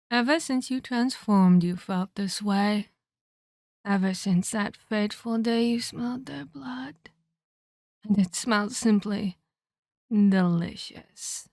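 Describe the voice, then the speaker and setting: Woman doing a menacing vampire lady for asmr roleplay, real slow, taunting and snooty, very dry recording. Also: mid-range voice, darkish tone, no echo. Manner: slow, deliberate, taunting, condescending, formal phrasing. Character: haughty, menacing female vampire elder, cruelly amused and taunting. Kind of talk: scripted ASMR roleplay addressed to the listener.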